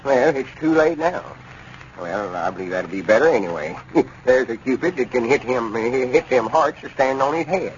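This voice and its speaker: An actor playing a proud, touchy older country storekeeper with a folksy rural Arkansas drawl in a 1930s radio comedy. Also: male voice, mid-range voice, lively intonation, normal speed, natural voice. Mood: defensive. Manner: folksy, self-important drawl. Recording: muffled, noisy 1930s radio recording.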